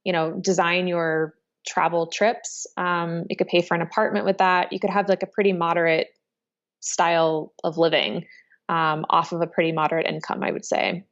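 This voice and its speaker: A woman in her thirties from Chicago, answering interview questions in a relaxed, thoughtful way with many ums. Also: lowish voice, normal speed. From Chicago, United States. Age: 30s. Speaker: interviewee, entrepreneur. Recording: dull lo-fi sound.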